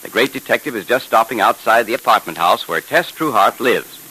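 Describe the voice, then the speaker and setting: A man's voice: mid-range voice, lively intonation, a little fast. A man announcing and narrating a 1930s radio serial with emphatic, polished salesmanship. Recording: hissy 1930s radio broadcast recording.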